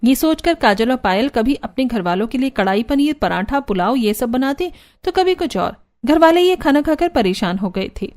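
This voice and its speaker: A woman's voice: high voice, animated, moderate pace, darkish tone. A woman narrating a scripted cartoon family story.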